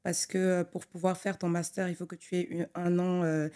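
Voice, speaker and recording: A young adult woman who grew up in Togo, an architect talking through her career as a podcast guest, thoughtful and full of pauses and restarts. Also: lowish voice, level tone, dark tone. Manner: thoughtful, many fillers and restarts. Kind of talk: conversational interview.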